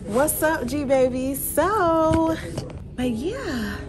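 Woman, 30s, chatty vlogger, phone held at arm's length, a little high-voiced, music clearly audible under her. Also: animated, drawn-out words. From Atlanta, United States. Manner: chatty, bubbly, addresses viewers as y'all. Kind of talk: casual vlog chat to camera. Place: office turned party venue, indoors.

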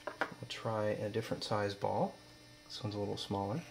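Young guy explaining a demo, pretty monotone, low voice, some hum in the background. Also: moderate pace, creaky. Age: young adult. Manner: matter-of-fact, explanatory. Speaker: student presenter. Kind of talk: informal demonstration narration. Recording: steady mains hum, background noise.

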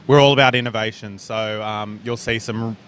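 A man's voice: fairly even intonation, moderate pace, fairly bright tone. An upbeat man in his thirties presents a product at a trade show booth, with a few ums along the way. He speaks into a handheld mic, and faint hall noise sits behind him. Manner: upbeat sales pitch, with fillers. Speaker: brand representative. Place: indoor expo hall, busy.

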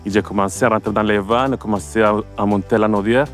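Colombian-born man in his 30s, low voice, faint music behind. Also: steady tone, fast. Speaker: interviewee. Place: outdoors in a valley field.

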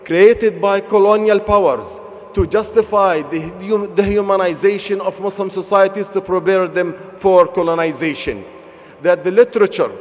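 A middle-aged man from Palestine giving an earnest convention speech from a podium, building emphasis with rhetorical questions. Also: high-pitched. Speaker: convention speaker. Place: large convention hall stage. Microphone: podium microphones. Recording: reverberant large hall.

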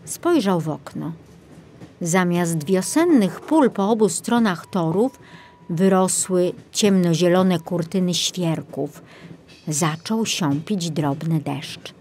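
A woman's voice: fairly low pitch, expressive, slow, warm tone, slight background noise. A woman reading a children's audiobook as scripted narration.

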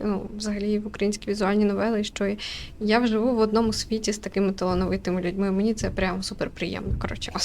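A woman's voice: mid-range voice, gravelly, darkish tone. Chatty woman streamer, faint background noise. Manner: chatty, halting, giggly. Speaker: gaming streamer. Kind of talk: casual let's-play commentary. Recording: faint room noise and ambience.

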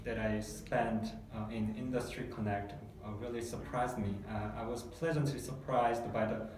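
Hombre coreano que habla con muchas pausas y titubeos, en una sala con eco. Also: male voice, mid-range voice, steady tone. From South Korea. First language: Korean. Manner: hesitant, many fillers and restarts. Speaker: guest. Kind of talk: testimonial interview. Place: small dark meeting room, seated at a table. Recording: echoey room, mic far off, faint hiss.

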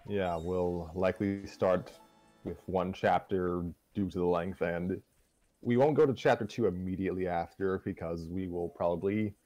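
A man co-hosting a show in casual, unscripted chat, talking in a halting, self-deprecating way. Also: deep voice, fairly even intonation, warm tone, soft background music. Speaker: co-host of a fan fiction reading show.

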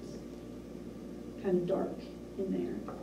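A businesslike woman talking through town business in a measured way, often pausing on an um. She sounds far off, in an echoey room. A steady hiss sits under her voice. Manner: measured, businesslike, with frequent ums. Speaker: town administrator. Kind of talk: reporting at a board meeting. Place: small municipal meeting room. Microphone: far room camera.

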